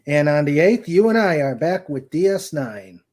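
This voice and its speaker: A man hosting a casual livestream, speaking in a halting way as he searches for words. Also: fairly high pitch, lively intonation, darkish tone. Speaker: host of a live-streamed show.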